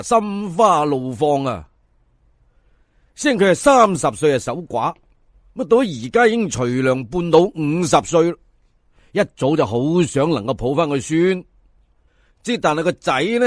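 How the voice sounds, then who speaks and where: A man's voice: a little high, animated, a little slow, dry recording, clean studio sound. A man narrating a folk tale in colloquial Cantonese as a storyteller, theatrical, addressing listeners and stretching words for emphasis.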